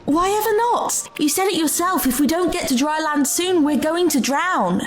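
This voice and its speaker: A plucky young woman adventurer, played by an actress in an audio drama. Her voice is fairly high, earnest and inquisitive, with faint music beneath her practical, determined words. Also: expressive, normal speed. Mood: urgent, practical.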